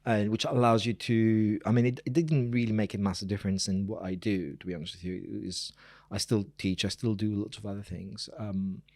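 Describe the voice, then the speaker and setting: A man's voice: on the low side, steady tone, fairly quick, creaky, hardly any pauses, a little mellow, no echo. A man, a professor talking casually and digressively as an interview guest. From Greece. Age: 40s. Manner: informal, digressive, emphatic repetition.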